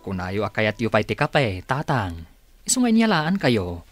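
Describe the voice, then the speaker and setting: A man's voice: fairly low pitch, wildly swooping pitch, measured pace, dry recording, soft background music, full character voice. A man playing an exasperated but patient adult son of an elderly father in a radio drama. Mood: flustered.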